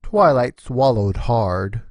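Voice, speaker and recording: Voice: medium pitch, expressive, takes it slowly, no echo, clean studio sound. A narrator reading a scripted story between the characters' lines.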